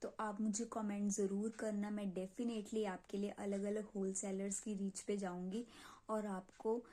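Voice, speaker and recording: A woman's voice: medium pitch, little pitch movement, measured pace, mellow. A young woman with a North Indian accent chats casually to her subscribers in an informal way, repeating phrases for emphasis. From Punjab, India. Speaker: video creator selling clay. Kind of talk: casual chat to subscribers, Hindi mixed with English.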